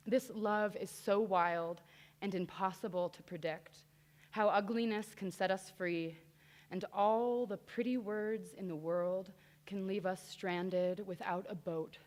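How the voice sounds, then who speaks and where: A woman reading a personal essay aloud to an audience in a reflective, deliberate manner. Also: medium pitch. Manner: slow, reflective, deliberate delivery. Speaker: reader at a literary event.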